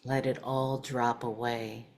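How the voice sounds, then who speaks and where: A woman with an exceptionally low-pitched voice leads a guided meditation. She speaks slowly, setting out short phrases one at a time and leaving pauses between them. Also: level tone, a little mellow. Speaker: meditation teacher.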